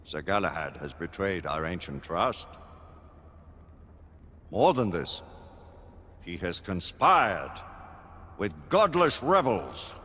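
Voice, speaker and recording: A stern judge presiding over a council of knights in a period video game. His voice is husky yet bright and forceful, declaiming accusations formally, and it rings through a long hall reverb. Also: sits low, very animated, slow, long pauses, full character voice. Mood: grave, accusing. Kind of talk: theatrical period drama game acting.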